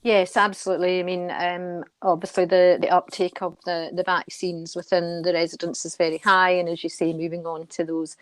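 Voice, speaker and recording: A middle-aged woman answers radio interview questions in a low voice that stays very even. She is measured and earnest, often pausing to repeat a word before carrying on. The sound is dry and close, with little room around it. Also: no room sound. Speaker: guest, care charity board member.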